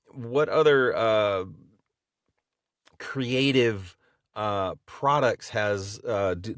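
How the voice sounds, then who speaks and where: A man's voice: lowish voice, dry recording, studio quality. A man co-hosting a podcast, asking rambling questions that restart as he goes. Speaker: podcast co-host. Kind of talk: casual interview questions.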